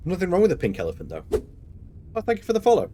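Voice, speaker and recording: A man's voice: sits high, wildly swooping pitch, very fast, noisy background. A man with a Mancunian accent chatting casually while live-streaming a game, rambling and laughing often. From Manchester, England.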